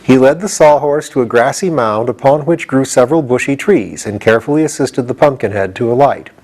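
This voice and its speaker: A man's voice: medium pitch, a little fast, a little mellow. A male audiobook reader with a mid-range voice. He delivers scripted narration of a children's storybook.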